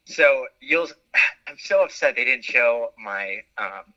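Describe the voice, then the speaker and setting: A man talking as a guest in a casual interview, animated and quick to laugh at himself, with plenty of fillers. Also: medium pitch, dull audio.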